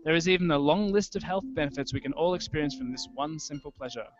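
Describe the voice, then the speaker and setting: Music plays clearly behind a young man reading literary fiction aloud. His voice sits a little high, and his delivery is measured and deliberate. The sound is somewhat dull, like a lo-fi online stream. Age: young adult. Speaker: writer reading his own audio fiction. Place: indoor room with wooden ceiling. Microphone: handheld-style microphone close to mouth, video call. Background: prominent musical soundscape.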